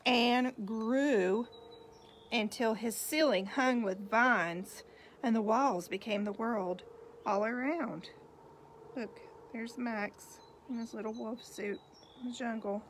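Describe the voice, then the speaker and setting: Female storybook reader, slightly high voice, very slow delivery, expressive, for a young audience. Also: a little hiss or hum. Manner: expressive, unhurried, pointing things out to children. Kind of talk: picture-book read-aloud for young children with asides about the pictures.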